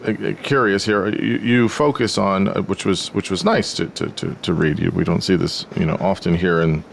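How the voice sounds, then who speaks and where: An American man hosts the interview, his voice very low and gravelly with plenty of fry. He asks questions loosely, circling and restarting before landing on the point. A faint hiss runs under the recording. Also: steady tone, moderate pace. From United States. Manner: rambling, loosely phrased questions. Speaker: podcast host.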